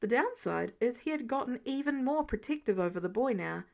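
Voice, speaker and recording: A woman reading fiction aloud in an expressive audiobook narration style. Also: a little high, fairly quick, vocal fry. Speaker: fan fiction reader.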